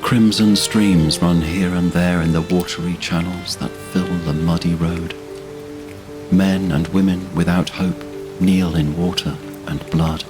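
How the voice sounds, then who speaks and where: A man giving solemn scripted narration for a fantasy audio drama. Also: sits low. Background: orchestral string music.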